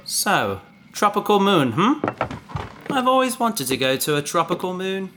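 An actor playing a preoccupied, gently stubborn space traveller in a naturalistic sci-fi audio drama, hesitant and often trailing off. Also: male voice, high voice, very animated, unhurried, noisy background, exaggerated voice. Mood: subdued.

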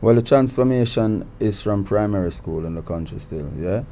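A man from Jamaica with a Jamaican accent and a naturally deep voice, giving relaxed, easygoing interview answers in a recording studio. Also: moderate pace, a little hiss or hum. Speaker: guest artist.

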